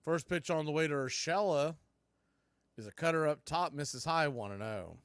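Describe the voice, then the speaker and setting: A man calling live baseball play-by-play in punchy short phrases, getting excited on big plays. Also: mid-range voice, smooth, no echo, clean studio sound.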